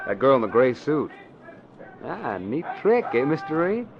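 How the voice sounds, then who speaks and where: An actor playing an eager, excitable ordinary fellow in a 1940s radio drama. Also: male voice, mid-range voice, unhurried, creaky, a crowd in the background. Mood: curious, teasing. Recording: dull noisy vintage radio broadcast.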